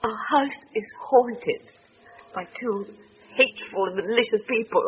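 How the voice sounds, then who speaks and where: An actress with a British accent playing a prim, distressed and indignant old-fashioned wife in a radio drama, speaking emphatically. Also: female voice, a little high, measured pace, close to an everyday voice. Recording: muffled radio recording with faint hiss.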